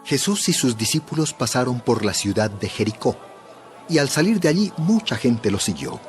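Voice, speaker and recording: A man narrates a dramatized Bible story in a mid-pitched voice. His delivery is scripted storytelling, clearly phrased, with music plainly heard underneath. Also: big pitch swings, normal speed.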